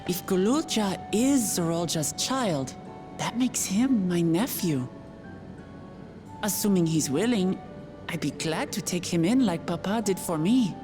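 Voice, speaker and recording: An actress playing an earnest, compassionate young heroine in a video game cutscene, sincere and thoughtful. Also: female voice, fairly low pitch, animated, measured pace, music in the background.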